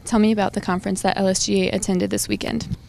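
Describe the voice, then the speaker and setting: A woman's voice: medium pitch, little pitch movement, fast, vocal fry, darkish tone, a little hiss or hum. A young woman working as a campus news reporter, introducing and interviewing in a scripted style.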